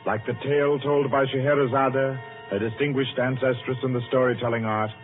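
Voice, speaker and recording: A man narrating a scripted 1940s radio thriller in a measured, formal and dramatic manner. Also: medium pitch, steady tone. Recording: muffled old radio broadcast recording. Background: loud orchestral music.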